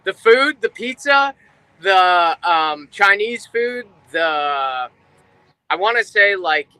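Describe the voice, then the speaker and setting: Very bright-voiced man from New Jersey. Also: high-pitched, animated, slow, clear, resonant tone, dry recording, poor audio. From New Jersey, United States. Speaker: guest, rock drummer. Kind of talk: casual chat.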